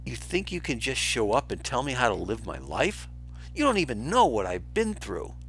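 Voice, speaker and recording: A man's voice: mid-range voice, huge pitch swings, normal speed, gravelly, a bit bright, soft background music, pitched above the performer's own voice, exaggerated voice, low-quality recording. A man playing a young grown lion in a children's storybook, an earnest, guilt-ridden runaway prince who turns defensive.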